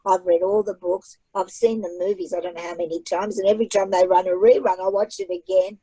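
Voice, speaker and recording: A woman's voice: medium pitch, expressive, a little fast, warm tone, husky, dry recording, poor audio. A woman author who learned English as a second language, speaking in a chatty, emphatic way and often repeating phrases for effect, over a video call. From migrated to Australia at age nine. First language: not English. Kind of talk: casual online library talk.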